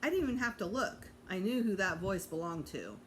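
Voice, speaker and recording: Woman, animated read-aloud, very varied intonation, medium pitch, teacher storytelling, noisy background. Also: brisk. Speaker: teacher reading to students. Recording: clear background noise.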